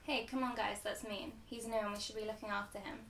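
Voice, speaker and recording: A woman's voice: medium pitch, fairly even intonation, fast talker, vocal fry, a bit bright. A woman playing a kind, protective classmate in a radio drama, scolding yet kind. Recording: echoey room.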